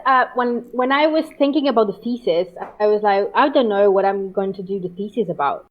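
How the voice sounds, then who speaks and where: A woman with an Italian accent, a translator being interviewed, talking in halting bursts with many restarts. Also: a little high. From Italy. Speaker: guest translator. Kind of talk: interview over a call. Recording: dull lo-fi call audio.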